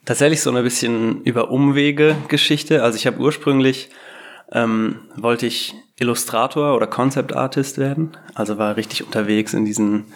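A man's voice: mid-range voice, fairly even intonation, moderate pace. A young adult man, a game designer and podcast guest, telling his story in a rambling, self-deprecating way. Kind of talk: casual podcast interview. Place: Berlin.

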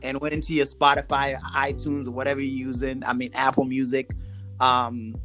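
A man's voice: medium pitch, fairly quick, soft background music. Laid-back man hosting a casual interview. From Zimbabwe. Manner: relaxed, laid-back slang, many restarts. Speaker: podcast host.

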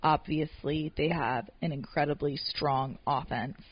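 A woman with a raspy voice, chatting wryly about football on a podcast. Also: low voice, fairly even intonation, measured pace, no echo. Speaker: podcast co-host. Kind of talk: casual sports chat. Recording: dull lo-fi podcast audio.

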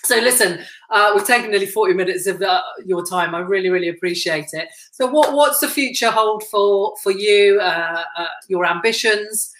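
A woman hosting a podcast interview, with a deep voice and a chatty, self-deprecating manner. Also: normal speed, reverberant, a little hiss or hum, rough recording. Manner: warm, chatty, self-deprecating, laughs easily. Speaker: podcast host. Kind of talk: casual interview chat.

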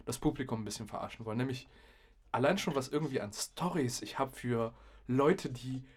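A young man in a casual podcast conversation, with a medium-pitched voice. He talks in loose slang like a DJ swapping stories, and he likes to act out the remarks he quotes. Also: a little fast, husky. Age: young adult. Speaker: podcast host and DJ. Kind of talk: casual chat, animated storytelling.

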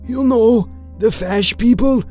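Man doing a booming old Scottish grandfather. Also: slow pace, warm tone, soft background music. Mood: rambling. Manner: bellowing, rambling.

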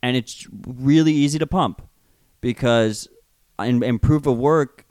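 A man's voice: mid-range voice, a little slow, a little mellow, no echo. A man with a New York accent, co-hosting a casual podcast, speaking bluntly and swearing now and then. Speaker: podcast co-host.

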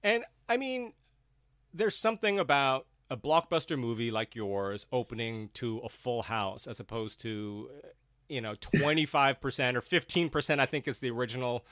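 Male interview host, average pitch, conversational questioning, very little room sound. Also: moderate pace, a bit bright, no echo, clean studio sound. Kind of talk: panel discussion, interviewing guests.

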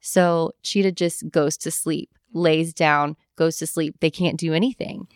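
Young woman, animated storyteller, low smooth voice, dry close sound. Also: measured pace, dry recording. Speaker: guest telling travel stories. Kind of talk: casual chat.

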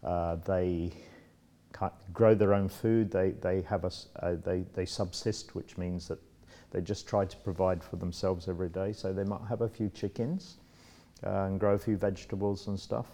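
An older man in his sixties with a very low voice and a warm, mellow tone. He talks through his answers at a relaxed, thoughtful pace, often restarting sentences and filling pauses. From Democratic Republic of Congo. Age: 60s. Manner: thoughtful, rambling with restarts and fillers. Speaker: interview guest. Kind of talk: casual interview.

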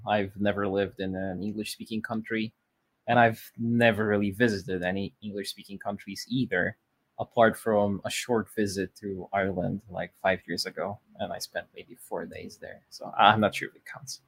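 A man's voice: lowish voice, flat delivery, moderate pace, slightly rough, no room sound, low-quality recording. A thirty-year-old man from Poland whose first language is Polish, chatting casually as a podcast guest, rambling with fillers and restarts. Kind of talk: casual interview chat.

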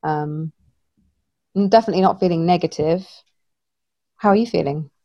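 A woman's voice: fairly low pitch, moderate pace, warm tone, muffled recording. A woman co-hosting a family podcast, congested and a little wheezy, hesitant with many ums. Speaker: podcast co-host. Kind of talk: casual family chat.

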